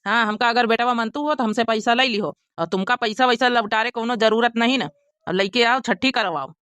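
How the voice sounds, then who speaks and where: A woman's voice: on the high side, brisk, fairly bright tone, no room sound, studio quality, lightly acted. A woman voicing a protective, generous young married son in a cartoon comedy, earnest and reassuring, with an Awadhi accent.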